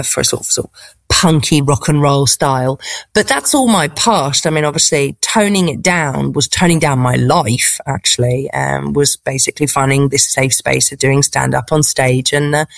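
A woman's voice: a bass voice, moderate pace, gravelly, no room sound. A woman with a posh English accent, a stand-up comedian chatting in an interview with frequent laughs and restarts. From England. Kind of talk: casual interview.

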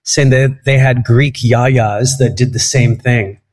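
Egypt-born comedian guy rambling through stories, laughs a lot, middle-pitched voice. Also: fairly even intonation, takes it slowly, a little mellow. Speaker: comedian guest. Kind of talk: casual interview chat.

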